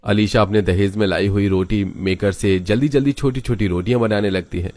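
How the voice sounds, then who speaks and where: A man's voice: fairly low pitch, steady tone, a little fast, a little mellow. A man reading scripted narration for a family story.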